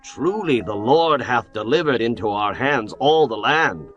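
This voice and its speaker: Guy doing a firm young israelite spy, solemn, bit higher than the narrator. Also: animated, a little slow, a bit bright, highs cut off, faint music behind. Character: two young male Israelite spies, steady and sworn.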